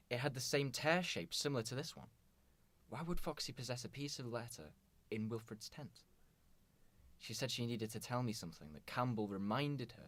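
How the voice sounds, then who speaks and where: A man's voice: medium pitch, a bit bright, dry recording, close to an everyday voice. An actor playing a weary, dogged police inspector in a radio drama, brooding as he speaks. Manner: brooding, thinking aloud.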